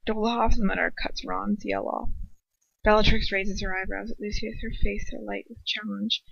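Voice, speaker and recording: A woman's voice: mid-range voice, steady tone, normal speed, gravelly, fairly bright tone, husky, no room sound. A woman narrating a first-person story as an amateur reader, stumbling now and then and correcting herself. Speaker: amateur fan fiction reader. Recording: dull, lo-fi home recording.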